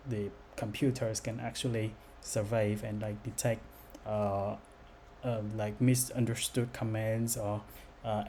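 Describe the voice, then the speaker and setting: A man giving an unscripted software demo as its developer, speaking in a halting, hesitant way. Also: fairly low pitch. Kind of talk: unscripted screen-recorded demo narration. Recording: noticeable background noise.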